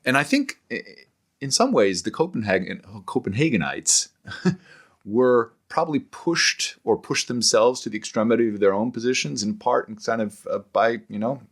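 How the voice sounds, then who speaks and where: A man speaking as a scholarly interview guest in thoughtful conversation, digressive and halting, often repeating words as he goes. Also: medium pitch, animated.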